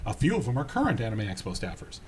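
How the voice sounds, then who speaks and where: A man's voice: mid-range voice, huge pitch swings, fast talker, darkish tone, slight background noise. American man chatting casually as co-host. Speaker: podcast co-host. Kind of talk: casual chat. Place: small indoor room at home.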